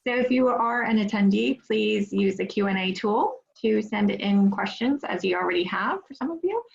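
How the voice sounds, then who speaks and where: A woman's voice: mid-range voice, lively intonation, creaky. Woman moderating an online panel. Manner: measured, encouraging, frequent affirmations. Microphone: video call. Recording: slightly dull lo-fi call audio.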